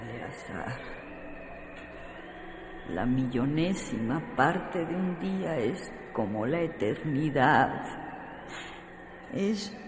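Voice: medium pitch, lively intonation, extremely slow, long pauses. An actor playing a wistful lover in a radio drama, in a noisy, reverberant recording. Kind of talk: slow theatrical radio drama acting. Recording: noisy, long reverberant echo, distant.

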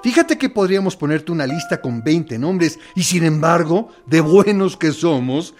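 A man's voice: a little high, expressive, normal speed, faint music behind, lightly acted. A man acting a radio drama monologue as a menacing interrogator who feigns reason and kindness, insinuating and mock-courteous. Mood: mock-kind, coaxing.

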